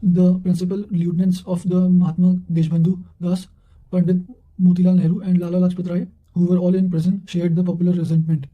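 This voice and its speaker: Male narrator, high pitch, even intonation, measured delivery, reading study notes aloud. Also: narrow, tinny audio.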